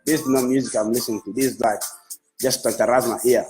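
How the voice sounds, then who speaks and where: A man's voice: mid-range voice, steady tone, fast talker, darkish tone. A man whose first language is Swahili, a music manager talking on a Facebook live, enthusiastic and repetitive, restarting his phrases as he praises an artist. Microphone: phone.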